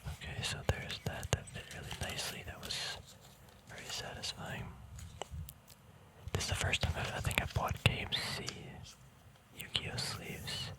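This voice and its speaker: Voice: moderate pace, low-quality recording. Asmr host whispering the whole time, casual chat, kinda rambly n hesitant. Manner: rambling, hesitant, thinking aloud.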